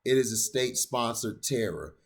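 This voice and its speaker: A man of ordinary pitch reads a long written essay aloud for his channel. His delivery is steady and deliberate. He trips on a longer word now and then and goes back to say it again. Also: vocal fry. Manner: steady, deliberate reading with occasional stumbles on long words. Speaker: channel host reading an opinion essay aloud.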